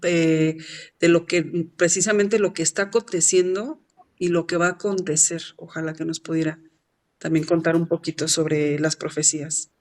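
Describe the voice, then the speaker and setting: A man's voice: a little high, little pitch movement, normal speed. A man interviewing a guest, polite and brief, with short backchannel replies. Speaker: interviewer host.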